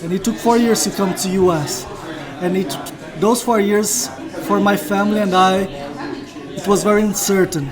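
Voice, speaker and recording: A man's voice: sits high, steady tone, normal speed, crowd noise, rough recording. A young Brazilian man with a Portuguese accent, a missionary guest speaking earnestly in simple phrasing. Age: young adult. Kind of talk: casual interview. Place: cafe, indoors, busy.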